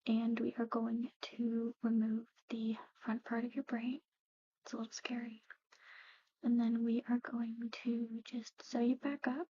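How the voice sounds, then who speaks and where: Soft whispery woman doing asmr clinic roleplay, pretty flat gentle explaining, hesitant, super dry close mic. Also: a little high, unhurried, no echo, lo-fi sound. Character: soft-spoken, matter-of-fact woman at an eerie old clinic. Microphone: close-up ASMR microphone.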